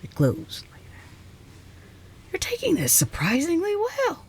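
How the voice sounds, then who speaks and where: A woman playing a haughty, flustered reclusive scientist in a close ASMR roleplay, halting and repeating herself as she gives orders. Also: very high-pitched, wildly swooping pitch, darkish tone, slight background noise, full character voice. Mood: intrigued, proud. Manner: halting, repetitive, sighing, imperious.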